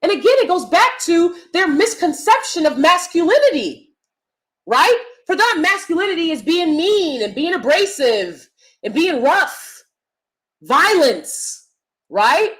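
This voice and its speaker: A woman with a loud, projecting stage voice, giving emphatic opinion commentary as a vlogger and swinging between loud points and soft asides. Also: very high-pitched, sing-song, measured pace.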